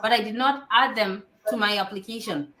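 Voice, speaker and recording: A woman's voice: mid-range voice, brisk, a bit bright, roomy sound. A woman hosting a live stream, chatting casually and giving advice, with a laugh and many fillers.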